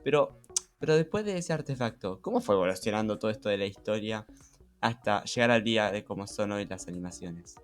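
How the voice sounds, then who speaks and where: A man with a Rioplatense Argentine accent co-hosting a casual podcast, speaking haltingly and repeating words as he goes. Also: on the low side, animated, faint music behind. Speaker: podcast co-host.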